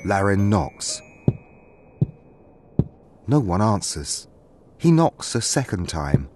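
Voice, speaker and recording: Man with a really low voice reading a fantasy story, lots of up and down. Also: big pitch swings, slow, darkish tone, slight background noise. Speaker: narrator. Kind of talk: scripted fantasy story narration.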